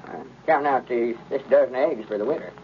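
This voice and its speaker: A man's voice: medium pitch, brisk, gravelly, natural voice. A man acts an earnest old storekeeper with homespun manners and a rural Southern accent. The radio comedy recording is thin, phone-like and a bit noisy. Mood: busy, obliging. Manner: rustic, homespun talk. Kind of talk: 1930s radio comedy acting.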